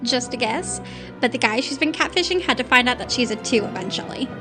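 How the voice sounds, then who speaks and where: A woman's voice: fairly high pitch, expressive, brisk, over music, lightly acted. A woman playing a snide, bored young valley-girl minion in an audio drama, dismissive and full of slang. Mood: mocking, bored.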